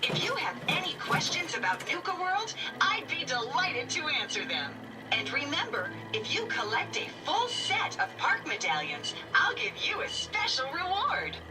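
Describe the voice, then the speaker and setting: A woman's voice: high voice, very animated, normal speed, far from the mic, music in the background. A woman voicing a bubbly female robot guide in a game, speaking through a tinny speaker. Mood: chipper. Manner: bubbly scripted sales cheer.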